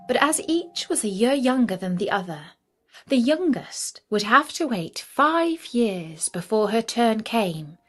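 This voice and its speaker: Slow, very expressive woman storyteller. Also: mid-range voice. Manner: expressive, measured storybook reading. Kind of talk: scripted fairytale narration.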